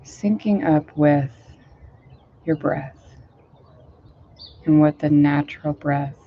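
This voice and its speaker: A woman meditation teacher, a little hoarse today, talking casually and rambling with many fillers before leading a guided meditation outdoors. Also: low-pitched, slow, muffled recording, a little hiss or hum. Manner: rambling, filler-heavy, gentle. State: slightly hoarse, low voice.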